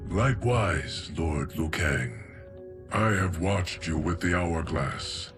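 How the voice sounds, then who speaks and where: A man playing an ancient, serene guardian of time in a video game, formal and deliberate. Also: sits low, lively intonation. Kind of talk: video game cutscene acting. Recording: loud music behind the voice.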